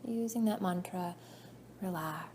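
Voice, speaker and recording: A woman leading a guided meditation as a yoga nidra teacher, soothing and measured, her phrases trailing off softly. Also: on the low side. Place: indoor class room. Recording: faint background noise, live class recording.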